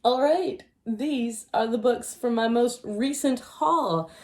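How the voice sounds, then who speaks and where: A woman's voice: on the high side, takes it slowly. A woman vlogging a casual book haul, chatty and rambling, losing her voice and often unsure of the details.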